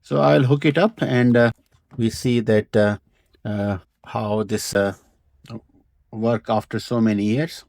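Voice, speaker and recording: A man who is not a native English speaker casually presents a product demonstration, speaking unscripted in short, halting phrases with hesitant pauses. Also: fairly low pitch, fairly even intonation, gravelly, darkish tone, dry recording. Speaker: vintage radio hobbyist presenter.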